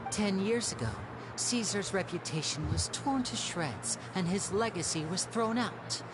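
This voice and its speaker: A woman's voice: mid-range voice, expressive, over music, natural voice. A woman as a suave, composed young magician. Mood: solemn, probing. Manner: polite, measured, theatrical. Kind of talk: dramatic scripted video game voice acting.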